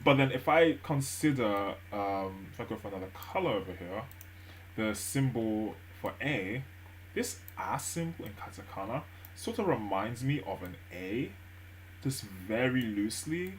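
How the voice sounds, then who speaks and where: Low-ish guy chilling at his desk studying, lots of uhs and restarts, faint room hum. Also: animated, a little slow. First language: English. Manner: thinks aloud with frequent fillers and restarts, soft gentle pace. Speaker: language learner live-streaming a study session. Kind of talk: relaxed study stream narration.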